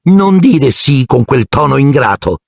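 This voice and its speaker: A man reading aloud and voicing a domineering middle-aged aunt in a shrill, barking falsetto. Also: sing-song, measured pace, creaky, no echo, heavily acted. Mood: scolding.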